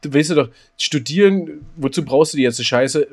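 A man's voice: fairly high pitch, normal speed. A man co-hosting a joking podcast, trading dry, teasing banter. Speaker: podcast co-host. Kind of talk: joking casual chat.

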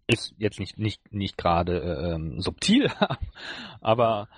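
A man co-hosting a podcast, casually reviewing an episode in a halting way as he searches for words. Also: fairly low pitch, big pitch swings, husky, no room sound. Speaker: podcast co-host.

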